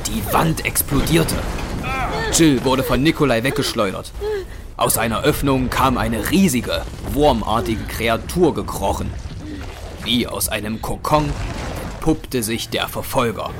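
A man's voice: mid-range voice, a little slow, natural voice. A man narrating a horror action audio drama in a grave, scripted storytelling style. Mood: grave, suspenseful. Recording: music under the narration. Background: dramatic music.

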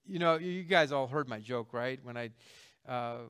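Man, fifties, pastor, sermon, bright, forceful, joking asides. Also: medium pitch, moderate pace, clear, resonant tone. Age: 50s. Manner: conversational, joking, emphatic.

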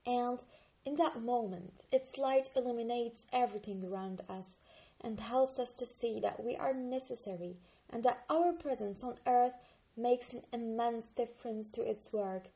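A woman in her twenties from Algeria, an Arabic speaker, reading a book aloud slowly and carefully on her channel. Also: fairly high pitch, warm tone, rough recording. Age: 20s. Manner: slow, careful reading with occasional slips and restarts.

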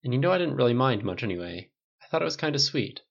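A dry, gently teasing military buddy, voiced by a man. His pitch moves around in a lively way, and the whole take sounds slightly muffled. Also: fast talker, vocal fry.

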